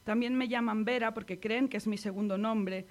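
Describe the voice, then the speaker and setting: A woman from Spain with a Spanish accent, reading her own poem aloud on the radio in a measured, intimate way. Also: on the high side, steady tone. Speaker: poet reading her work. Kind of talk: poetry reading.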